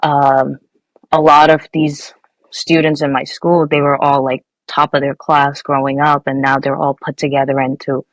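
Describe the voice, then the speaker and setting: A woman's voice: deep voice, little pitch movement, moderate pace, no echo, rough recording. A young woman from Nepal, a guest engineer, answering interview questions casually with many fillers and restarts.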